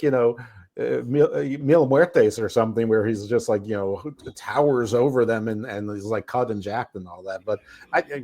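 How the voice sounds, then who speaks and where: A man's voice: medium pitch, mellow. A man co-hosting a podcast, reviewing wrestling in casual chat, rambling with restarts and chuckles. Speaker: podcast co-host.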